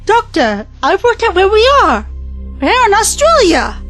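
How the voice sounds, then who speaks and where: A woman acting an excitable young time-travel companion in an audio drama, urgent and breathless with news. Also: extremely high pitch, normal speed, heavily acted. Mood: urgent, excited. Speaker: actor. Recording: loud music bed behind the voice.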